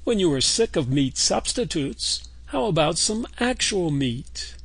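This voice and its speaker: A man voicing a quirky radio sponsor announcer, with a gravelly, husky voice and a sing-song, drawn-out reading. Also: fairly high pitch, sing-song, dry recording, heavily acted. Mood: wry.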